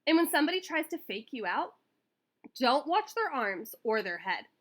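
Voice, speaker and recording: A woman's voice: sits high, sing-song, moderate pace, pitched above the performer's own voice. A woman voicing a teasing but encouraging older brother in a children's storybook, with punchy, instructing delivery. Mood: coaching, encouraging.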